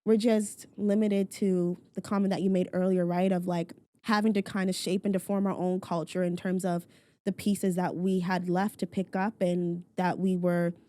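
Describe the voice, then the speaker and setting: Young woman, from Nova Scotia, mellow tone, casual podcast talk, laughs between phrases. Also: on the low side, little pitch movement, moderate pace. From Halifax, Nova Scotia, Canada. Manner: frequent "like" fillers, laughs while talking. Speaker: podcast guest. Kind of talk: casual conversational interview.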